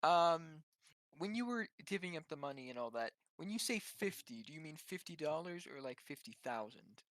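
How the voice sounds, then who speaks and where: A man chatting casually as a player in an online tabletop game, halting and repeating short phrases. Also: a little high, big pitch swings, a bit bright, no echo.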